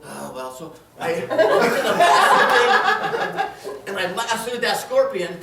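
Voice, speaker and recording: A man's voice: very high-pitched, huge pitch swings, takes it slowly, gravelly. A man telling a comedic tall tale live, in a theatrical, dramatic manner with exclamations and acted-out quotes. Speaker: storyteller in a tall tales contest. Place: indoor room before a live audience. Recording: echoey room, distant mic, noisy.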